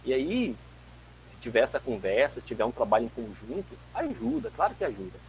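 A man in his forties, from Brazil, giving an animated physics lecture over a video call from a home office, telling stories with frequent restarts. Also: medium pitch, a little fast, a little hiss or hum, low-quality recording. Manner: animated, gestures a lot, tells anecdotes with many restarts. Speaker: guest lecturer, physics teacher. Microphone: webcam mic on a video call.

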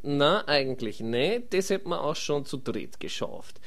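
A man's voice: on the high side, very animated, unhurried, a bit bright, exaggerated voice. A man with a Viennese accent voicing a streetwise, grumbling rogue adventurer in a comedy fantasy audio drama. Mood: chatty, keen.

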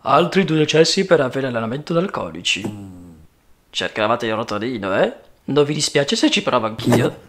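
A man's voice: mid-range voice, big pitch swings, normal speed, a bit bright, slight background noise. A man voicing an awkward, timid Death in a cartoon, muttering hesitantly to himself. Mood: nervous, casual. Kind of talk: adult cartoon dub.

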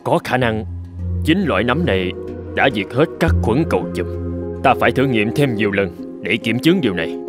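Guy doing earnest middle aged professor in a cartoon dub, solemn n measured, mid pitched, bit of music under it. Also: expressive, close to an everyday voice. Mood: earnest hope. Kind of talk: dramatic animated-film dubbing.

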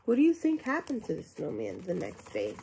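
A woman's voice: lowish voice, wildly swooping pitch, a little fast, gravelly, warm tone, a little hiss or hum, rough recording. A young woman reading a children's picture book aloud, adding simple questions and asides for young listeners. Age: young adult. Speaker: storybook reader. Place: small indoor room at home.

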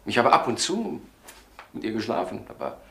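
An actor playing an evasive, indignant doctor under suspicion in a German crime radio drama, hesitant and repeating himself. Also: male voice, lowish voice, sing-song, normal speed, vocal fry, fairly bright tone, heavily acted. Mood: evasive, defensive. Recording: roomy echo with faint background noise.

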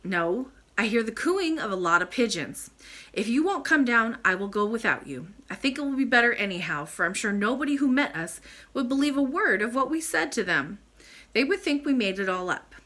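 A blunt, stubborn young boy from a storybook, put on by a woman. He talks in a sulky, offended way with a forceful edge and lively swings of pitch. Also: normal speed. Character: stubborn, gruff, plain-spoken young miner boy.

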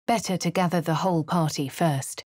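A woman with a posh English accent voicing a wry young female adventurer in a video game, crisp and clipped. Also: low voice, steady tone, normal speed, a little mellow, dry recording. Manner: crisp, clipped, dryly sardonic. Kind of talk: video game character voice lines. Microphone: close studio mic. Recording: dry studio recording.

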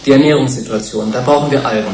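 An actor playing a self-important, easily rattled TV interviewer in a German radio play, halting and insistent. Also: male voice, mid-range voice, darkish tone, close to an everyday voice. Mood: pleading, irritated. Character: flustered, self-important male TV interviewer, defensive and impatient. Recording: roomy sound with faint noise.

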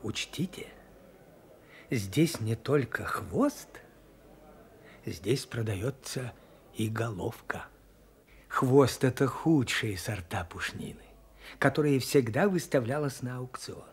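An actor playing a wry provincial man who explains things deliberately and emphatically in a radio drama. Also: mid-range voice, animated, slight background noise. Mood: knowing, emphatic. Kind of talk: Soviet radio drama acting.

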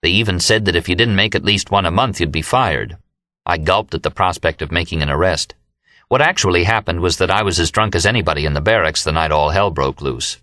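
A man's voice: deep voice, a bit bright, no echo, studio quality. A man with an American accent reads a first-person novel as an audiobook narrator.

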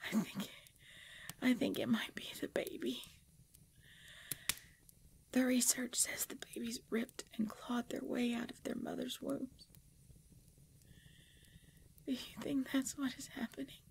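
A woman playing a tormented, devoted pregnant vampire in an intimate ASMR roleplay, halting and breathy, often dropping into a whisper. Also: fairly high pitch, fairly even intonation, darkish tone. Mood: pained, fearful. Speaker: voice actor in audio roleplay.

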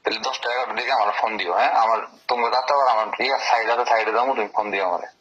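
A man speaks quickly and forcefully, pressing his points and repeating them. The sound is a muffled phone recording with clear noise over it. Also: medium pitch, fairly even intonation. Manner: domineering, insistent, repetitive. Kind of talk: informal phone conversation. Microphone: telephone.

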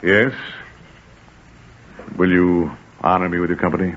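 Casting: formal Roman dignitary, low voice, old radio drama, dull recording. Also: male voice, fairly even intonation, a little slow. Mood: courtly. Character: smooth-tongued, dignified Roman official and royal guest. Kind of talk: 1940s radio drama acting.

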